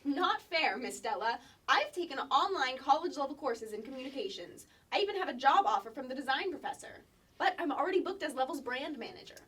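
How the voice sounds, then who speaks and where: A woman's voice: high-pitched, expressive, brisk, a bit bright, recorded from a distance. An actress playing a bossy, precocious kindergarten girl with a pompous, officious manner in a staged comedy sketch reading. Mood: smug. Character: bossy, precocious kindergarten girl managing her twin brother.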